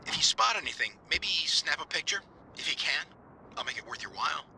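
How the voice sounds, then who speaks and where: Bright, forceful radio crank, noisy. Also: male voice, on the high side, animated, a little fast, creaky, exaggerated voice. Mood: businesslike. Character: brusque, eccentric male amateur paranormal investigator on radio. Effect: two-way radio. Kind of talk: naturalistic game voice acting. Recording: noisy two-way radio transmission.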